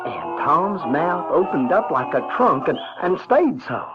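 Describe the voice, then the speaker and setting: An actor playing a folksy, earnest Southern American runaway boy who tells his adventures in halting, colloquial speech. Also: a little high, sing-song. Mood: storytelling. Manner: halting, colloquial, repeats words. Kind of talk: first-person storytelling in radio drama. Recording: dull 1970s recording with music.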